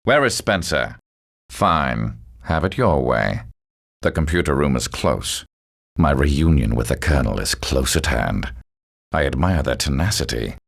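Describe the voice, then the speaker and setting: Very deep, gravelly, arrogant game villain. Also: male voice, lively intonation, full character voice. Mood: cold. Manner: smug, deliberate, mocking, menacing. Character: cold, arrogant male villain, superhuman schemer with sinister calm. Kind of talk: video game voice lines, theatrical villain delivery.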